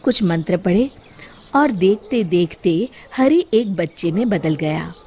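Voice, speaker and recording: A woman narrating a children's moral story in an expressive, scripted storytelling style. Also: lowish voice, slow, slight background noise.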